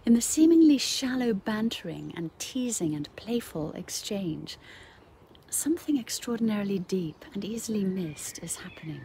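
A woman in her fifties giving an earnest, measured spoken reflection as a bishop. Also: mid-range voice, expressive, warm tone. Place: garden, out of doors. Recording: outdoor ambient noise, birdsong.

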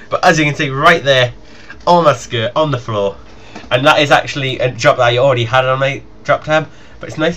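A man's voice: medium pitch, fairly bright tone, slight background noise. A young British man giving casual, chatty gameplay commentary in an informal way. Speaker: gaming video creator.

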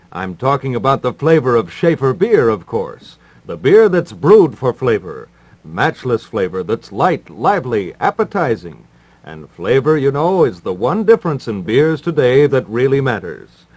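A man hosting and announcing in 1950s radio style, wry and formal, with deadpan delivery. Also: medium pitch, unhurried, breathy edge, no room sound. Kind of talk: 1950s radio patter, mock-serious advice and live ad reads.

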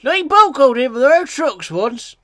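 A man's voice: very high voice, sing-song, a little slow, sharp tone, no room sound, clean studio sound, voice pushed up, heavily acted. A man voicing a confident little storybook engine, explaining things in an emphatic, childlike way. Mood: knowing.

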